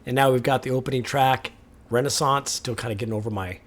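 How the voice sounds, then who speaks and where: Male reaction host, casual and chatty, slightly raspy from a lingering sore throat. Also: medium pitch. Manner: chatty, laughs often, pauses the track to comment.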